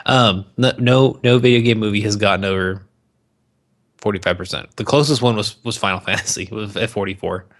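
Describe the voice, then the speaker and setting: A man's voice: fairly low pitch, a little fast. A man co-hosting a podcast in casual, crude banter about movies, with plenty of swearing. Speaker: podcast co-host.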